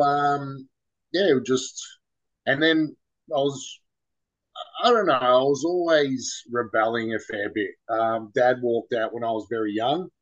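Easygoing Australian man, muffled sound. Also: mid-range voice. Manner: rambling, loose and informal, lots of "man" and "bro". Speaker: podcast guest. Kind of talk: casual interview talk.

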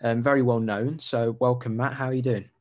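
A man hosting a casual interview podcast, introducing his guest in a relaxed, friendly way. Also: medium pitch, a little fast. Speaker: podcast host.

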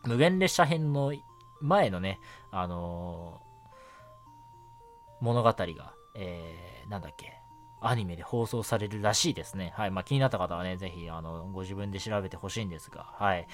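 Low-voiced man, lively casual radio host. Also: normal speed. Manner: chatty, polite, peppered with filler particles. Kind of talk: casual solo radio talk about anime. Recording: music bed under the voice. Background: background music.

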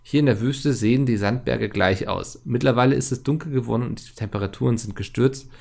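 A man's voice: medium pitch, fairly quick, creaky, darkish tone. A man co-hosting a casual podcast, reading listener letters aloud and joking along. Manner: reads listener letters aloud, jokey, laughs a lot. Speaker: podcast co-host.

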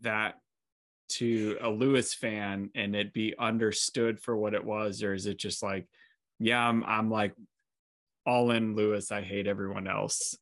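A podcast host asking questions in a halting way, often repeating words while searching for the phrasing. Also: mid-range voice, flat delivery, no room sound. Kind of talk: conversational interview.

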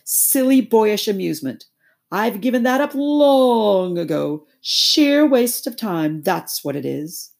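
A grand, pushy toad gentleman gushes in a raised, breathless rush, as a woman reading a storybook puts him on. He brags and pleads by turns, with a husky, gravelly edge under the lift. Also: medium pitch, expressive, slow pace, voice pushed up, heavily acted. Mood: lofty. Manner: gushing, exclamatory, wheedling. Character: boastful excitable male toad, grand and pushy.

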